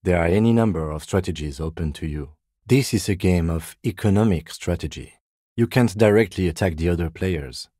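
An actor playing a calm, methodical middle-aged police lieutenant in a video game, measured, understated and dryly wry, with a husky voice. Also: male voice, low voice, no room sound, studio quality. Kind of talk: video game character dialogue.

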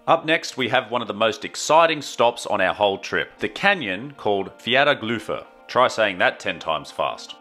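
Lively, bright-voiced man narrating travels. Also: fairly low pitch, moderate pace, hardly any pauses. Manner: enthusiastic, storytelling. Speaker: travel vlogger. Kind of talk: scripted travel voice-over narration. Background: faint guitar music.

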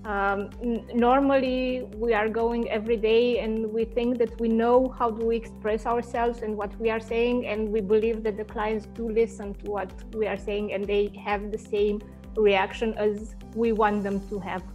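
Interview guest, thirties woman, raised but flat delivery, earphone mic over a lo-fi video call. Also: on the high side, soft background music. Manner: measured, hesitant with frequent fillers. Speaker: marketing head, interview guest. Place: indoor home office. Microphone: wired earphone mic on video call.

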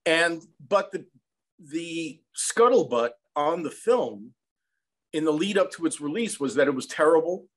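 Higher-pitched American man telling stories. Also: rough recording. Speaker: songwriter and film composer, interview guest. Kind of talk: conversational interview anecdotes. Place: small room at home, indoors. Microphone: video call.

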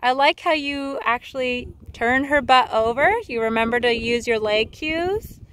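A woman coaching a horse rider in an outdoor arena, upbeat and teasing, quick to praise. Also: a little high, a bit bright, slight background noise. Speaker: riding instructor.

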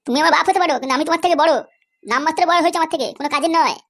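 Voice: extremely high pitch, very fast. A performer voicing an insolent, foul-mouthed son who taunts and orders people around in a comedy cartoon. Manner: taunting, bossy.

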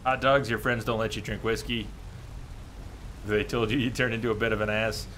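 Guy streaming a game, lowish voice, rambly casual chat with viewers, noisy game ambience behind him. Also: fairly even intonation, fairly quick, a bit bright. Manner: musing, chatting with viewers between game remarks. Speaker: video game livestream host. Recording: clear background noise from game ambience.

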